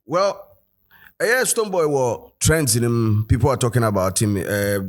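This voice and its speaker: A man's voice: medium pitch, expressive, normal speed, over loud music. A man with a Ghanaian accent hosting a casual editorial show, talking loosely with many fillers and switching between English and Twi. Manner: chatty, loose, full of fillers and code-switching. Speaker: host and commentator.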